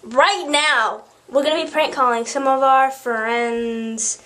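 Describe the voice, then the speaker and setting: Teen female amateur host, high register, unhurried casual chat, faint noise on a home recording. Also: fairly even intonation, sharp tone. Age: teenager. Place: small indoor room at home. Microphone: camera's built-in mic at arm's length. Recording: noisy home camera recording.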